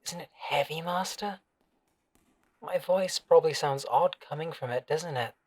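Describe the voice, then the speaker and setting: A man's voice: on the high side, unhurried, slightly rough. A man playing an obsessive, possessive servant in a scripted roleplay audio drama, coaxing and deferential yet controlling. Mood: anxious pleading.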